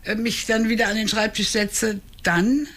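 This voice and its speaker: A woman in her sixties from Hannover, speaking in a casual radio interview and pausing often with fillers and restarts. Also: medium pitch, steady tone, fairly bright tone. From Hannover, Germany. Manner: hesitant, many fillers and restarts. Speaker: crime novelist guest.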